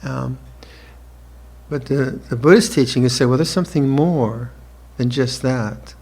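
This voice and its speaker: A monk in his seventies gives an evening dharma talk, speaking slowly and reflectively with gentle restarts and soft laughter. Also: medium pitch. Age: 70s. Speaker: monk teacher. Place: retreat hall with listeners.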